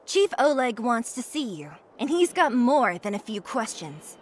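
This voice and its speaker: A woman's voice: on the high side, very animated, measured pace. A woman playing a brash, scornful young female fighter from the underground in a video game, blunt and taunting.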